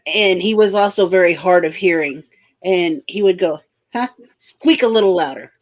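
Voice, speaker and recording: An easygoing woman chats on a livestream with a friend. She chimes in with her own loose, offhand anecdotes. She sounds relaxed throughout. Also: lowish voice, moderate pace. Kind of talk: casual chat.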